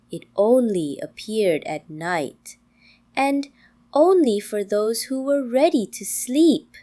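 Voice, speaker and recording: Female bedtime narrator, calm and soothing, extremely unhurried delivery, expressive melodic intonation. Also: medium pitch. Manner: calm, gentle, unhurried with frequent pauses. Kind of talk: slow, soothing scripted bedtime storytelling for toddlers.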